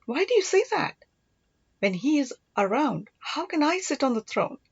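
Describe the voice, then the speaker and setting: A spirited young princess from a storybook, played by a woman reader, full of quick questions and exclamations. Her pitch moves in lively swings, in a dry, slightly dull recording. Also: no echo. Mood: doubting, surprised. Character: gentle young Pallava princess, glum yet spirited sister.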